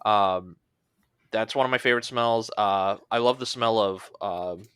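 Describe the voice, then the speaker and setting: A man's voice: lowish voice, a little slow, fairly bright tone, smooth, no room sound, clean studio sound. A man co-hosting a podcast, chatting casually in an easygoing, loosely phrased and hesitant way. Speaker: podcast co-host.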